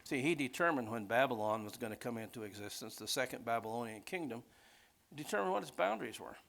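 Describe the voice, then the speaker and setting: A man teaches like a preacher leading an informal Bible class. His voice sits at an ordinary middle pitch. He rambles along conversationally without a script, regularly checking that listeners follow. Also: expressive, fast, vocal fry, a bit bright. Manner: rambling, conversational, often checking in with "okay?", "see" and "right?". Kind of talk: informal teaching sermon, off the cuff.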